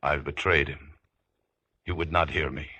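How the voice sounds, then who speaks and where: A captive biblical hero with a profoundly deep, forceful voice. He is grave and defiant, in the manner of 1950s radio drama. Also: steady tone, a little slow, husky, exaggerated voice. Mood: anguished. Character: strong, defiant captive hero betrayed in love.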